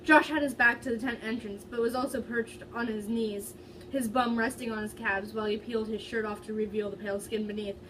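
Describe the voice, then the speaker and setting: A woman's voice: a little high, steady tone, brisk. Young woman reading aloud, distant noisy recording. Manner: giggly, informal, stumbles over words. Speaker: reader of fan fiction. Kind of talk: dramatic amateur story reading. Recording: distant mic with loud background noise.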